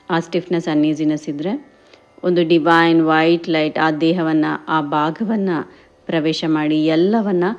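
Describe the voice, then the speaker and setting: A woman guides a meditation in gentle, encouraging instructions, addressing listeners as friends and mixing in English words. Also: sits low, lo-fi sound. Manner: mixes English words and phrases into speech.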